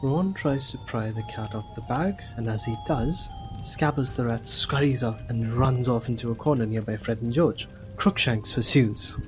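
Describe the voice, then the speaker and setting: A man narrating a scripted audio drama as a measured, descriptive storyteller. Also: medium pitch, music in the background, lightly acted, low-quality recording.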